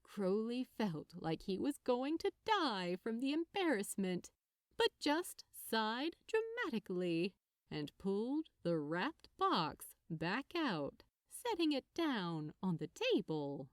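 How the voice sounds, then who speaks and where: Female fiction narrator, scripted and expressive, taking her time, very varied intonation, dry recording. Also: a little high, takes it slowly, no echo.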